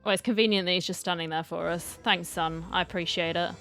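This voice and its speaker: A woman's voice: fairly low pitch, fairly even intonation, fast, fairly bright tone, soft background music. A woman streamer giving casual playthrough commentary, with playful, wry asides. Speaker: game streamer.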